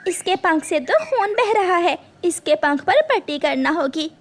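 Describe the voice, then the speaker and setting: Woman acting kind sparrow neighbour, high pitch, lively intonation, earnest, cartoon. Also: unhurried, heavily acted. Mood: worried. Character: caring, concerned female sparrow neighbour.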